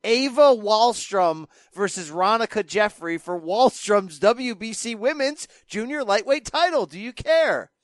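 A man's voice: high-pitched, expressive, a little slow, few pauses, dry recording, studio quality. A man hosting a boxing podcast, rattling off the weekend's fight listings with wry, joking asides. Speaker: podcast host. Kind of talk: casual chat.

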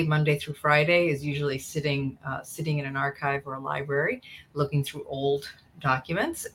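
A middle-aged woman with a notably low voice. She talks as a scholar being interviewed, explaining in a thoughtful, conversational style. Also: fairly bright tone. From United States, in a Cuban immigrant community. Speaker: interview guest, history professor and author.

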